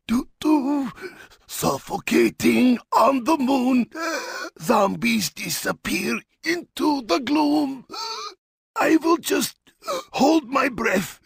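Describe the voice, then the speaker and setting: Brief: high, raspy, gravelly drunk Russian brute, bellowing battle taunts in broken English for a game. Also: male voice, no echo, clean studio sound, exaggerated voice. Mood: giddy rambling. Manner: shouting gleefully, broken English without articles. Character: boisterous drunk Russian Cossack zombie-slayer, crude, boastful. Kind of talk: video game character voice lines.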